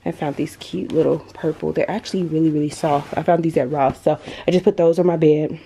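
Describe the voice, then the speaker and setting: A woman's voice: deep voice, steady tone, fairly quick, mellow, a little hiss or hum. A woman vlogger chatting and gushing as she walks through her apartment, filming with a handheld camera. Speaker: lifestyle vlogger. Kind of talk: casual home tour vlog. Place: apartment indoors. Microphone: handheld compact camera mic.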